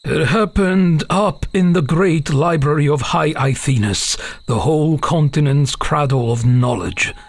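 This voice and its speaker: Man playing a higher-voiced half-elven father telling tales. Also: measured pace, vocal fry, few pauses, no room sound. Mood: mysterious, grave. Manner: hesitant openings, dramatic storytelling. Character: half-elven father, uneasy storyteller to his young daughter. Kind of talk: fantasy audio drama storytelling.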